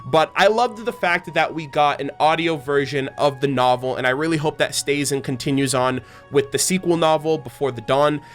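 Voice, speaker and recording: A man with a fairly high voice hosts a fan channel in a casual, rambling way. He sounds enthusiastic, with soft music behind him. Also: moderate pace, few pauses. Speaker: host of a fan channel about an animated series.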